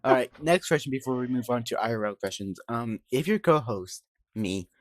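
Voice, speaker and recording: A man's voice: mid-range voice, lively intonation, moderate pace, dry recording. A man from Georgia, co-hosting a podcast and asking questions in casual chat. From Georgia, United States.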